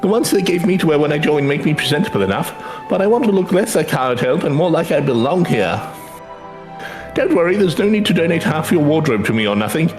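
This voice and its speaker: A man's voice: fairly high pitch, animated, a little fast, over music, natural voice. A man playing an eager, cheeky young male hired helper in a video game, chatty and colloquial, with a British accent. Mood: proud, chatty.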